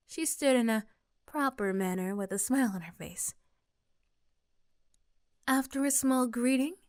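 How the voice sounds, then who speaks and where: A woman narrates a scripted roleplay story addressed to the listener. Her voice sits on the high side, and the recording is dry with very little room sound. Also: dry recording. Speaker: ASMR roleplay performer and narrator.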